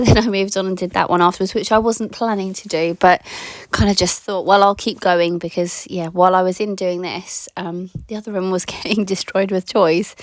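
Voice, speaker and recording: A woman in her thirties talks through a home tidying vlog in a relaxed, rambling voice-over full of ums and yeahs. Her voice is slightly croaky from a sore throat, and the recording is dry and close with no room sound. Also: mid-range voice, no room sound. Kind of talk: casual voice-over chat.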